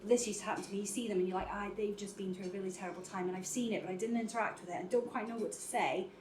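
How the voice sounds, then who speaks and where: A woman speaks candidly and pokes fun at herself in a relaxed group talk. Her pitch is mid-range. The room is reverberant, with faint ambience behind her. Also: rapid-fire, slight background noise. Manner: candid, self-deprecating. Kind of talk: casual group discussion. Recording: roomy room sound.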